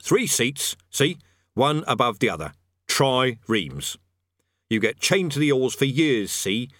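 A man voicing a smug, rough-spoken army sergeant who gloats with cheerful menace. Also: medium pitch, very animated, slow, fairly bright tone, dry recording, studio quality, voice pushed up, exaggerated voice. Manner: coarse colloquial speech, gloating, cheerful menace.

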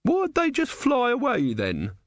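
A man putting on a shrill, pious young woman, pushed far above his own register. She speaks with fervent, high-strung zeal. The sound is dry and close. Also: huge pitch swings, unhurried, dry recording, exaggerated voice. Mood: indignant.

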